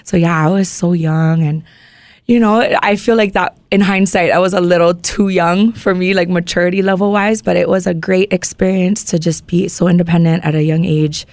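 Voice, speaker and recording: A woman's voice: lowish voice, fairly even intonation, normal speed, darkish tone, dry recording. A woman in her thirties from Maui, Hawaii, a singer-songwriter guest chatting in a laid-back, playful way with frequent giggles. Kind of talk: casual interview.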